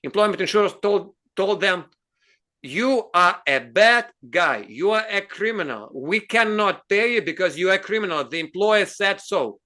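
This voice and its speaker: A man with a Russian accent, a native Russian speaker, hosting an explanatory talk in English, earnest and halting with frequent fillers and restarts. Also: high voice, expressive, bright, forceful tone. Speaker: host.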